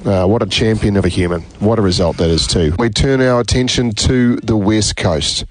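A man's voice: on the low side, moderate pace, slight background noise. A man with a New Zealand accent hosting a sports radio show, chummy and upbeat with his guest.

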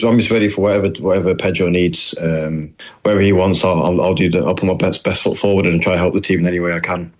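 A man's voice: low voice, a little mellow. A young man with a northern English accent, a footballer answering interview questions politely and hesitantly, with many fillers. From New Zealand. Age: young adult. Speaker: footballer guest.

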